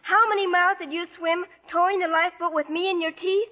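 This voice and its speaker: A plucky eleven-year-old orphan girl in a vintage radio drama. Her voice is extremely high, and she is pert and affectionate. The recording sounds dull and old. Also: steady tone, fast. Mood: playful, curious. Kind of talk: 1940s radio acting.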